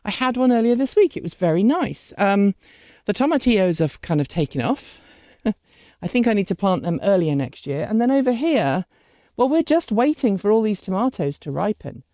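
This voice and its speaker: A woman's voice: medium pitch, big pitch swings, moderate pace, mellow, no room sound, clean studio sound. A woman hosting an unscripted garden vlog outdoors, chatting casually with lots of ums while recovering from a cold and a bit sniffly. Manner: chatty, rambling, with many ums. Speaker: vlog host. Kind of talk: scripted outro thanking supporters.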